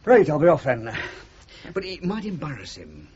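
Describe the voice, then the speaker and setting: A man's voice: fairly high pitch, sing-song, normal speed, darkish tone. An actor playing a blunt but kindly middle-aged working-class father with a Northern English accent in a 1970s radio drama. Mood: sheepish, kindly. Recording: dull old radio recording, faint noise.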